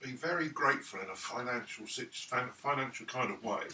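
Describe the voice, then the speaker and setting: A man playing a tabletop game, speaking in character in a hesitant, halting way. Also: mid-range voice, sharp tone, slight background noise. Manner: hesitant, halting with fillers and restarts. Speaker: player. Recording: echoey room from far off mic.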